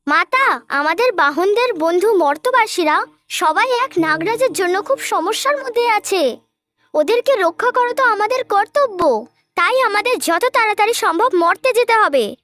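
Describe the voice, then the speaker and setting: A woman's voice: extremely high pitch, lively intonation, moderate pace, fairly bright tone. A woman voicing an earnest, dutiful young goddess in a mythological cartoon, speaking politely. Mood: earnest concern.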